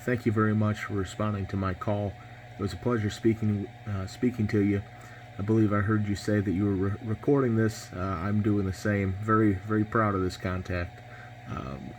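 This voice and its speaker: A man's voice: on the low side, a little hiss or hum, rough recording. A man in his twenties with an American accent, talking casually over ham radio in a hesitant, polite manner. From United States. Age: 20s. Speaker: amateur radio operator.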